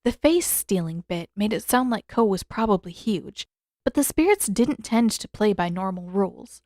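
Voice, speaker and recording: A woman narrates a scripted story. Her voice is mid-pitched, and the recording is very dry, with no room sound. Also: animated, moderate pace, a little mellow, no echo. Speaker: audiobook narrator.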